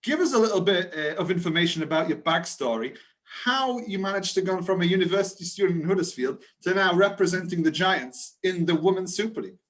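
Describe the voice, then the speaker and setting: A male sports interviewer with a slightly high voice sets up his guest's story with a friendly, open question. His tone is warm and encouraging. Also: animated, a little fast.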